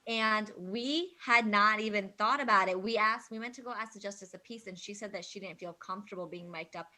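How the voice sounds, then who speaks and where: A woman chatting casually and telling stories in an animated, emphatic way, peppering her talk with "like". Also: mid-range voice, a little fast, rough recording. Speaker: wedding videographer, guest vendor. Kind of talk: casual chat, animated storytelling.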